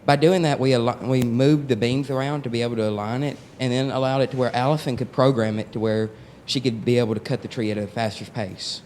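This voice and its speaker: A man's voice: medium pitch, fairly even intonation, fast talker, few pauses, darkish tone, a little hiss or hum. A young man from Arkansas, searching for words as he explains how a robot was built. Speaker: student presenter. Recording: reverberant hall.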